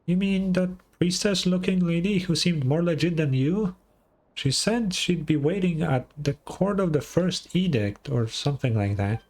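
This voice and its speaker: Man putting on a tiny female game fairy, shifted high, indignant, skeptical, sarcastic, music behind. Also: moderate pace, darkish tone, rough recording. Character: small, feisty, skeptical female fairy companion, squeaky and indignant.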